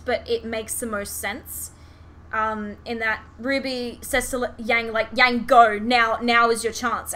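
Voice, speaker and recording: A woman podcast host chatting casually and animatedly about a fandom, swearing freely. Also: fairly high pitch. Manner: animated, sweary, giggly retelling. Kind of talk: casual fan podcast chat. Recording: clear background noise.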